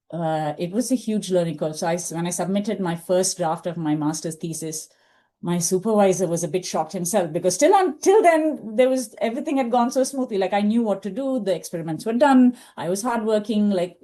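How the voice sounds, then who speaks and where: A woman's voice: mid-range voice, a little fast, dark tone. A middle-aged woman whose first language is Tamil, a podcast guest thoughtfully telling her own career story, with frequent fillers and restarts. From India. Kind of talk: reflective interview storytelling.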